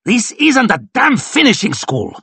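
Man doing a booming, raised-pitch army commander. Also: husky, no echo. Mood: roaring. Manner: brisk, authoritative, barking orders. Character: commanding, booming older lord marshal of an army.

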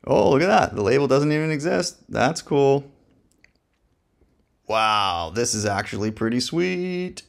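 A man with a gravelly voice, casually reviewing software while thinking aloud in a slangy, joking, laid-back way. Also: mid-range voice, lively intonation, a little slow.

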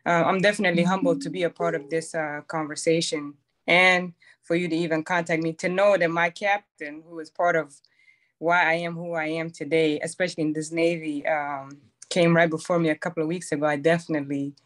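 A woman's voice: low voice, little pitch movement. A woman from Chad, a Navy command master chief, telling her story on a phone video call, humble and a little nervous. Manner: humble, slightly nervous, halting.

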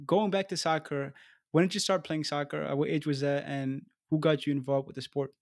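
A man's voice: on the high side, steady tone, fairly quick, no echo, clean studio sound. A young man from Ethiopia hosting a casual interview podcast, laid-back and easygoing with plenty of slang. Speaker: podcast host. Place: small room indoors. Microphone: studio mic on desk.

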